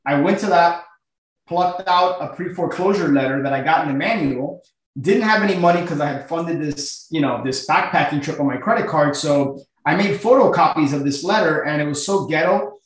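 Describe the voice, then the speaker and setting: Middle aged guy from miami guesting on a podcast, earnest casual storytelling, voice a bit high, super echoey. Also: moderate pace. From Miami, United States. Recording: very echoey, roomy sound.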